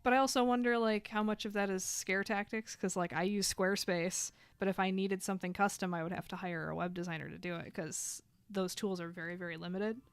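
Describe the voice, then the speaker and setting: A woman's voice: medium pitch, steady tone, brisk, few pauses, smooth, no echo. A woman in her thirties chatting casually while drawing on a live stream, rambling and filling gaps with "like". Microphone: desk microphone.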